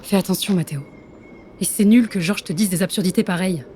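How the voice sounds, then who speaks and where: An actress playing a blunt but caring intelligence agent in an audio drama, frank and slangy. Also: female voice, fairly low pitch, brisk, dark tone, slight background noise, lightly acted. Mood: frank concern.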